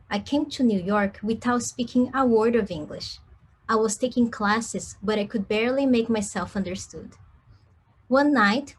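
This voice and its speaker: A young woman from Brazil, first raised on Cantonese, reads her own story aloud. Her voice sits a little high and has a husky, breathy edge. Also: low-quality recording. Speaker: contributor reading her story. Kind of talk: reading a personal essay.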